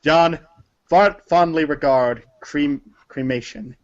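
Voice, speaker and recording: High-voiced man reading a story theatrically. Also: expressive, slow pace, highs cut off, slight background noise. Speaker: narrator of a group reading. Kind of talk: theatrical read-aloud storytelling.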